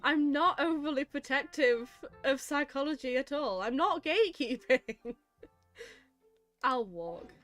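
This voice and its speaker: A woman's voice: sits high, animated, slow pace, fairly bright tone, soft background music. A woman doing casual reaction commentary over a video game, with dry, sarcastic, giggly humour. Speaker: gaming video host, psychologist.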